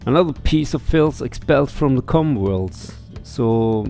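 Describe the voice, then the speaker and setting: Slow-paced man, casual game commentary. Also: medium pitch, animated, gravelly, dark tone. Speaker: let's play streamer. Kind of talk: casual game commentary, reading on-screen text aloud. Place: small room at home, indoors. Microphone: headset microphone. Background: faint game music.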